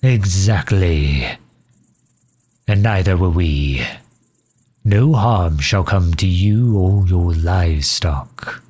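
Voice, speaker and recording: A man plays an earnest male vampire diplomat in an intimate audio roleplay. His voice is very deep, soft and husky. He speaks slowly, with formal, old-fashioned courtesy. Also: exaggerated voice. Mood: earnest, reassuring. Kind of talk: scripted ASMR roleplay, addressing the listener.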